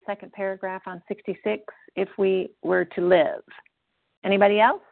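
Gravelly woman chairing a phone meeting. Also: medium pitch, little pitch movement, dry recording. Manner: brisk and organized, calling names. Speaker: meeting leader. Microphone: phone call. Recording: tinny dry phone line.

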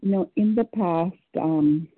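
A woman from Canada, speaking earnestly and hesitantly, with frequent ums. Her voice is husky and dry. The call comes through a narrow, phone-like line. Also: lowish voice, takes it slowly, dry recording. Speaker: meeting participant. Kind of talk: sharing at a phone support meeting.

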